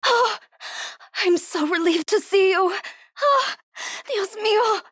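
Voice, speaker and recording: A woman's voice: very high voice, lively intonation, slow pace, a bit bright, no echo, studio quality, heavily acted. An actress playing a frightened young woman survivor in a horror video game, urgent and pleading. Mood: relieved, concerned. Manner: breathy urgent self-talk, pleading.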